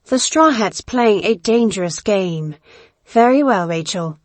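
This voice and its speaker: A woman acts out a pirate queen in an audio drama. She is shrewd and imperious, choosing formal words at a slow, measured pace. The sound is dry, with very little room in it. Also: mid-range voice, lively intonation, no room sound, lightly acted. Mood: commanding. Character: commanding female pirate captain, shrewd and coolly calculating.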